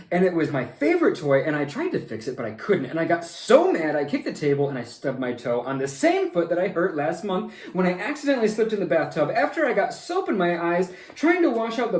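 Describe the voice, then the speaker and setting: A miserable little boy , as a man puts him on, gushes out complaints in a high, loud, breathless rush. The voice sounds roomy and swoops in pitch. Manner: breathless, rambling, whiny. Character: sad little boy, rambling and upset.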